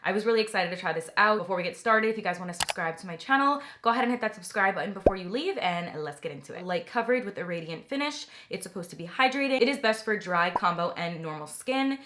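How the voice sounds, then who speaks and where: Chatty young woman, a little raspy. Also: medium pitch, expressive, fast, hardly any pauses, noisy background. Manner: chatty, enthusiastic, many "like" fillers. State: slightly raspy from getting over a cold. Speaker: beauty vlogger. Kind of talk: casual product review.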